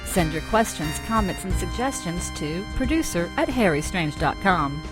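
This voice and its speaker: A woman's voice: fairly low pitch, expressive, normal speed. A woman announcer reading scripted closing credits for a radio drama. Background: music.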